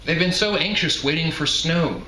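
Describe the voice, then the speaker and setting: A man's voice: on the high side, moderate pace, pushed and bright. A man voicing a chatty, frail elderly woman who keeps a motel, in a live novel reading. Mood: matter-of-fact, gossipy. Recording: roomy echoing live venue, some noise.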